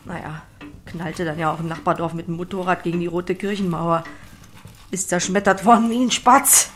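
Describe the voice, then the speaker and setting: A woman's voice: on the low side, fairly even intonation, normal speed, lightly acted. An actress playing a hardy, lonely farm woman in a German audio drama, blunt and plain-spoken. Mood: bitter, reflective.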